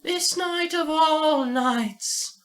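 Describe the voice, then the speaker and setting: A man voicing a spooky old woman in a ghost story, drawn-out and full of dread. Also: very high-pitched, pitched above the performer's own voice, exaggerated voice. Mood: foreboding. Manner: drawn-out, hushed.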